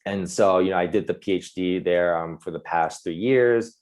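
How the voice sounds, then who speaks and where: Young guy on a webinar call, low voice, lots of ums and restarts. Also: a little mellow. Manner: halting, many fillers and restarts. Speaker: webinar panelist. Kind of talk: casual webinar talk.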